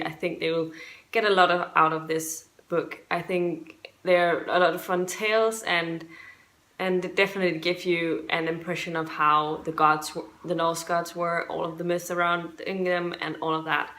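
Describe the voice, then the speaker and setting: Low-pitched Danish woman chatting casually. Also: fairly bright tone. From Denmark. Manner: hesitant, rambling, self-correcting. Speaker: book reviewer and video host. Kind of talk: casual book review chat to viewers.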